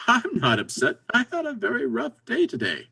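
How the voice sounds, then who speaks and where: Very animated, husky, pushy businessman played by a man. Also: very high-pitched, normal speed, full character voice. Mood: exasperated. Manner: pushy, exasperated, emphatic. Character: brisk, pragmatic businessman friend, impatient but encouraging. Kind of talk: stage comedy readers theater.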